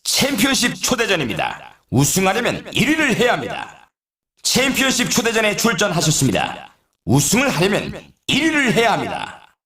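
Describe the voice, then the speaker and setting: A man voicing an excitable snowboard race announcer in a video game, with polished, showy, emphatic commentary. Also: high-pitched, normal speed, a crowd in the background. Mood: emphatic, announcing.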